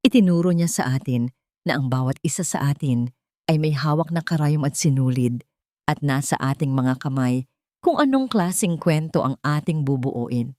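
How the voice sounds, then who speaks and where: A woman with a Filipino accent narrating a scripted dramatic audiobook story in a solemn, measured, reflective way. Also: deep voice, expressive, mellow, dry recording, clean studio sound.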